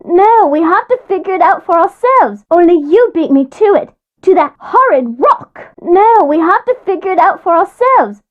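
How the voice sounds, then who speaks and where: Very high-voiced earnest young girl. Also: big pitch swings, normal speed, hardly any pauses. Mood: resentful. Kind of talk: video game character dialogue. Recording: slightly dull, band-limited game audio.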